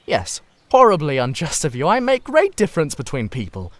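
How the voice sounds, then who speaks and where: A man voicing a witty, vain, cynical aristocratic gentleman in a theatrical reading of a Victorian novel. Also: on the high side, huge pitch swings, fairly quick, pitched above the performer's own voice. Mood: mock indignation. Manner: flippant, theatrical, epigrammatic.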